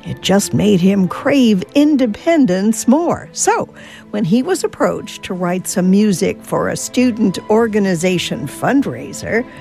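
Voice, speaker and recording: A woman radio host tells a story in a conversational, scripted way. Her voice is mellow and gravelly and moves up and down a lot. Faint music plays behind her. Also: medium pitch.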